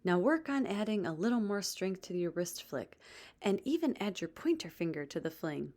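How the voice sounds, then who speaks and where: Woman reading as a kind male mentor, encouraging, playful teacher, lively swooping intonation, storybook. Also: on the low side, moderate pace. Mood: instructive. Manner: encouraging, instructive.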